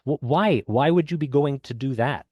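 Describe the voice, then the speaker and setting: A man's voice: medium pitch, normal speed, a little mellow, husky, dry recording, studio quality. A man hosting a podcast, introducing a guest and asking friendly questions. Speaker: podcast host.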